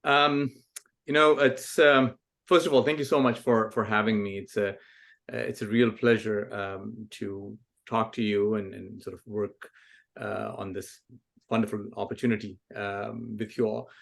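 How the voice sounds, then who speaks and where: A man's voice: fairly low pitch, fairly even intonation, a little slow, gravelly, fairly bright tone. A man in his forties from Pakistan, a guest author answering interview questions thoughtfully, with frequent ums and restarts. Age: 40s. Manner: thoughtful, many fillers and restarts.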